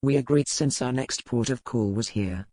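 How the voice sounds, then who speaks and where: An actor playing a stern, world-weary pirate captain in an audiobook, speaking in a measured, formal way. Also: male voice, medium pitch, warm tone, no echo, close to an everyday voice. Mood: grave, matter-of-fact. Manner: measured, formal, matter-of-fact. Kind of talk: audiobook character dialogue.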